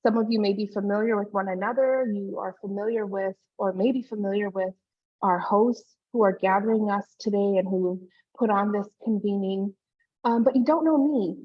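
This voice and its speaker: A woman's voice: mid-range voice, normal speed. Female speaker, 40s, from Hawaii, talking through earbuds on a video call; earnest and personal, audio slightly muffled. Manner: warm, personal, frequent "um" fillers. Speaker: guest speaker. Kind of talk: virtual conference talk.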